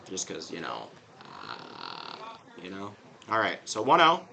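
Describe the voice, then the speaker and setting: A man calling a live baseball game play-by-play, his voice hoarse, talking chattily with casual asides between plays. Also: sits low, wildly swooping pitch, takes it slowly, bright, forceful tone. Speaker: play-by-play announcer.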